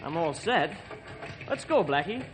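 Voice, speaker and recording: An actor playing an eager, earnest young truck driver in an old-time radio drama. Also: male voice, a little high, big pitch swings, measured pace, loud music behind the voice. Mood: cheerful. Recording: very noisy old radio recording.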